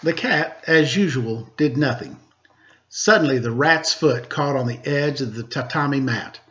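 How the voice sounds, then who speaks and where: A man working as a storyteller, reading a children's tale in an expressive narrating style. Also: mid-range voice, a little slow. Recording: slightly dull, lo-fi sound.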